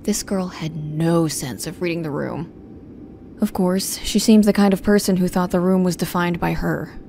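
A woman's voice: fairly low pitch, normal speed, creaky, dark tone, slight background noise. A woman playing a guarded, protective young woman, narrating an audio drama in the first person in a restrained, brooding, stern manner. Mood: irked, wary.

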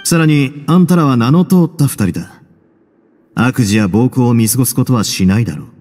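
A man acts out a shrewd, self-possessed warden from an anime game. His mellow, middle-pitched voice lays out his reasoning deliberately and casually. It rings with long reverb over background music. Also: lively intonation, close to an everyday voice. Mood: calm, calculating. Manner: deliberate, self-assured, casual masculine speech. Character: composed, shrewd prison warden, adult man, coolly calculating. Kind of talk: anime game voice acting, measured explanation.

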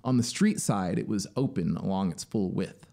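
A man in his thirties reads a literary story in a deliberate, dramatic way, drawing out key words into a close studio microphone. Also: low-pitched, gravelly, warm tone. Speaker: narrator and musician. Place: small home studio, indoors. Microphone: close studio microphone on boom arm. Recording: music behind the voice. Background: synthesizer soundscape music.

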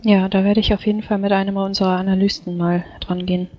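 A woman's voice: medium pitch, level tone, quick pace. Woman on a dull lo-fi mic. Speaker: player. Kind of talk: in-character role-play. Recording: dull lo-fi microphone.

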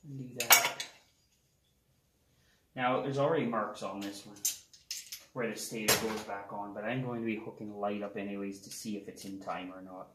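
A middle-aged man with a lowish voice talks plainly through a repair as he works, his words loose and unhurried. The sound is roomy and echoing, with loud clanks of tools and metal close by. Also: very noisy. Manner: relaxed, talks while working on an engine. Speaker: garage mechanic host. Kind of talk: casual how-to repair demonstration. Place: open wood shed workshop. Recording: echoey with loud tool clatter.

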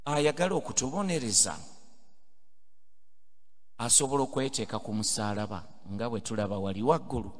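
A middle-aged Ugandan-accented priest preaches into a pulpit microphone, his voice sitting at an ordinary male pitch. He drives his points home with emphatic, rising calls to the congregation. Long cathedral reverb trails every phrase. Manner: emphatic preaching, building to loud exhortations. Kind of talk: homily mixing English and Luganda. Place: large cathedral, indoors at a pulpit. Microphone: pulpit microphone on a stand.

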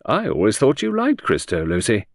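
A man voicing a weary older gentleman who speaks in a measured, sober way. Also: mid-range voice, huge pitch swings, creaky, no room sound, clean studio sound, pitched above the performer's own voice, exaggerated voice. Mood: grave.

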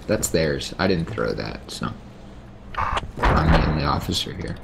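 A man acting as squad leader in an online shooter, giving orders over voice chat amid loud gunfire. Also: rumbling low, gravelly, heavy background noise. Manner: giving quick orders, calm then urgent. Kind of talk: tactical voice chat during online game. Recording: gunfire-heavy game audio over voice chat.

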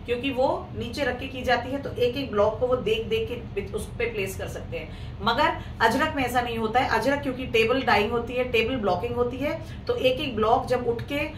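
A woman with a hoarse throat hosting a live clothing sale, chatting casually with viewers in Hindi mixed with English. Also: fairly high pitch, normal speed. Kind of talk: casual live-stream sales talk. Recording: echoey room, mic at a distance, faint noise.

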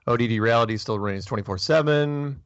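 A man's voice: medium pitch, fairly quick, clear, resonant tone, dry recording, lo-fi sound. A man hosting a call-in show, chatty and joking in casual talk. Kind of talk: casual call-in radio chat.

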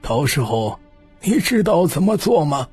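A man voicing a stern, shrewd old clan patriarch in an audiobook, speaking slowly and with weight. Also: a little high, very animated, darkish tone, husky, faint music behind, pitched above the performer's own voice, full character voice. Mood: commanding. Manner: slow, emphatic, weighty delivery.

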